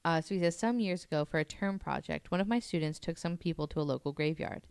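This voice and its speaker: A woman's voice: low-pitched, fast, creaky, a little mellow, clean tone, dry recording. A woman talking casually and informally as a guest on a podcast, walking through what she has read. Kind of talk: casual podcast talk, presenting and paraphrasing an article.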